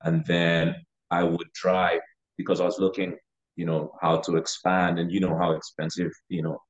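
A young man from Uganda talks through his investing history as a podcast guest, loose and unhurried, full of "uh" and "you know." His voice sits low and level, with little rise or fall. The recording is a bit dull and muffled. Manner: relaxed, with frequent fillers like "you know" and "uh". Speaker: guest real estate investor. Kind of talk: casual interview talk.

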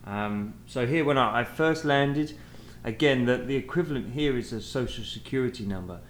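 A man with a British accent from England, talking as a podcast guest in a halting way, with many fillers and restarts. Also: mid-range voice. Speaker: guest, sales manager. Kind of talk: conversational podcast interview. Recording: echoey room, faint noise.

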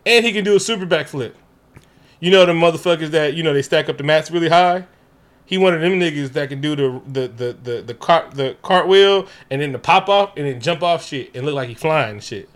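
A man's voice: a little high, brisk. A man co-hosting a casual movie review podcast, talking profanely and animatedly and riffing with laughs. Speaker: podcast co-host.

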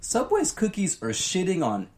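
A man's voice: high-pitched, huge pitch swings, moderate pace, darkish tone. A young man on a casual podcast, pushing strong opinions while hedging with lots of "like". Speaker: podcast co-host. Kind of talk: casual chat. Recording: echoey room with faint noise.

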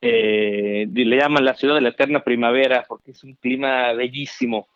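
A middle-aged Mexican man telling his story as an interview guest, animated and chatty with many fillers. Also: mid-range voice, moderate pace, clear, resonant tone, dry recording. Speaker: guest, founder. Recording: dry, dull remote call audio.

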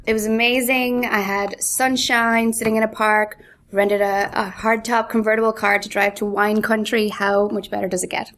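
Woman, from Ireland, neutral accent, mid-pitched, chatty, upbeat, quick to laugh. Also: level tone, a bit bright. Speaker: interview guest, content marketing lead. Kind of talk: casual interview answers.

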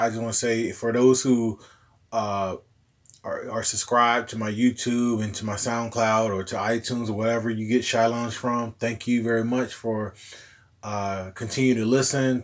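A man with a hoarse, recovering voice hosting a casual solo podcast, rambling along in a laid-back way. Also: medium pitch. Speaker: podcast host.

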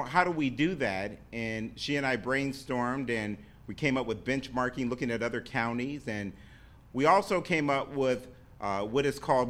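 A man giving an earnest speech to introduce a guest in a hotel banquet room, halting often and restarting phrases. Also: medium pitch, bright, forceful tone. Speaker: introducer, county staff member.

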